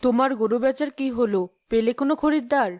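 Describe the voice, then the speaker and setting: A woman's voice: on the high side, brisk, darkish tone, lightly acted. A woman voicing a practical, consoling village wife and mother in a cartoon, reassuring but firm. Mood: coaxing. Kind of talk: Bangla cartoon voice acting. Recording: music behind the voice.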